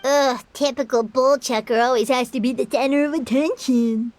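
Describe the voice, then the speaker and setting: A woman voicing a small, chatty, cheeky fairy companion in a game, squeaky and childlike, speaking of herself in the third person. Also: steady tone, moderate pace, heavy background noise, natural voice. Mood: cheeky, boastful. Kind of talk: animated game dialogue.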